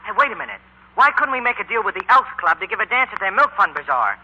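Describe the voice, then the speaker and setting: A man's voice: high voice, very animated, fast, bright, forceful tone, exaggerated voice. An actor playing an eager, loyal teenage boy sidekick in earnest, agreeable 1940s radio acting style. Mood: keen. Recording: muffled old 1940s radio broadcast.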